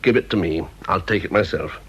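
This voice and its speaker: A man's voice: deep voice, brisk, a bit bright, breathy edge, exaggerated voice. An actor playing a stern, exacting British senior scientist in a radio drama, clipped and sarcastic as he interrogates and reprimands. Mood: angry, scornful. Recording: dull, muffled old radio recording.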